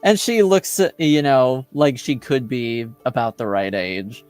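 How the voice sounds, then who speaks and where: Clear-voiced, halting male game master. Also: mid-range voice, very animated, darkish tone, faint music behind. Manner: hesitant, halting, casual. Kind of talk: improvised tabletop game narration.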